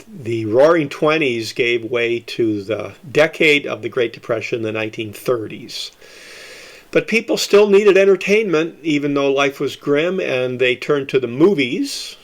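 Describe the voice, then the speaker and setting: A man presenting an informal history talk in a conversational way, with folksy asides. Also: medium pitch. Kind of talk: informal history lecture with slides.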